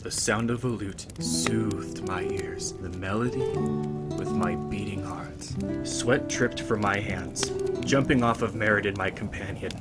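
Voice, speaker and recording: Guy playing a brooding fantasy bard, lowish voice, slow and dramatic, music behind. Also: close to an everyday voice. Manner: grim, dramatic, deliberate. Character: grim lone male fantasy bard recounting his bloody past. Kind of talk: scripted first-person fantasy narration.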